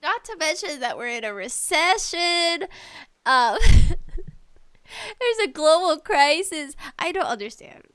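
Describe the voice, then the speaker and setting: Female podcast host, high-pitched and bright; casual, opinionated gaming rants, animated and giggly. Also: slow pace, pauses often, no echo. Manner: animated ranting with frequent giggles.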